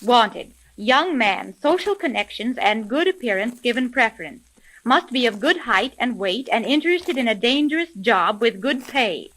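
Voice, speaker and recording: An actress playing a brisk, no-nonsense woman who runs a detective agency, in 1930s radio drama style. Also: on the high side, animated, a bit bright. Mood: businesslike. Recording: noisy, clicking vintage radio recording.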